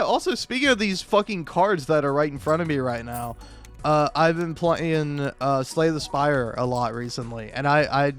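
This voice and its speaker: A man's voice: a little high, a bit bright. A man giving casual, meandering gameplay commentary, swearing freely and poking fun at himself as he chuckles along. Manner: sweary, self-deprecating, meandering; chuckles often while talking. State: high on drugs. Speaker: video game streamer.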